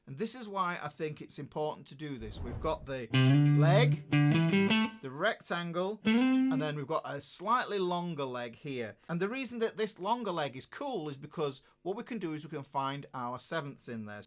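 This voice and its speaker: A man's voice: fairly high pitch, sing-song, moderate pace. A man teaching a guitar lesson, explaining each step in an upbeat way while he plays. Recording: loud guitar playing over voice. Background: guitar playing between phrases.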